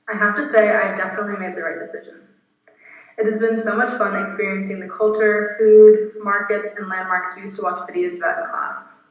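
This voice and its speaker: A woman in her twenties, a guest speaker reading a prepared speech at an earnest, steady pace, recorded on video in her apartment. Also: medium pitch. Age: 20s. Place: apartment room. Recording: echoey, distant, muffled video recording.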